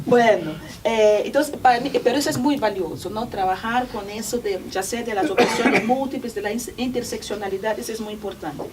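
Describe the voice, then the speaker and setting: A woman's voice: on the high side, brisk, hardly any pauses. A woman whose first language is Portuguese, giving reflective academic commentary at a presentation, searching for words and often adding tag questions. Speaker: panel commentator at a research report presentation. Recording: distant mic with faint room noise.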